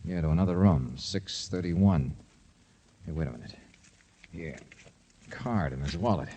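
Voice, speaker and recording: A man playing a brisk, wry, tough private investigator in a 1950s radio drama, talking in a clipped, commanding way. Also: deep voice, animated, dark tone, slightly rough, dull audio, heavily acted. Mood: terse, intent. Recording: noisy old 1950s radio recording.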